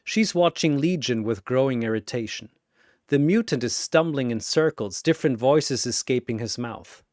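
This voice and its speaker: A man's voice: mid-range voice, animated, normal speed, darkish tone, no echo, studio quality. A man narrating a scripted battle story in a dramatic style.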